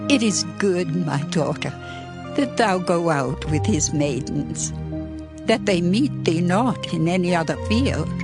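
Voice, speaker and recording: A woman plays an older mother-in-law of biblical times, caring and earnest in her counsel. She takes her archaic lines slowly and with measure, over faint music. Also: on the low side, lively intonation. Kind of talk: dramatized scripture reading, archaic English.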